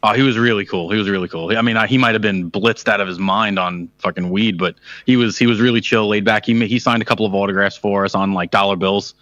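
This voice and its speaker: A man's voice: lowish voice, steady tone, fast, hardly any pauses, fairly bright tone, no room sound. A man, a podcast guest calling in from work, talking in a laid-back, offhand way. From Staten Island, New York. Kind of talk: casual chat. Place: at work, over Skype. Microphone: internet call.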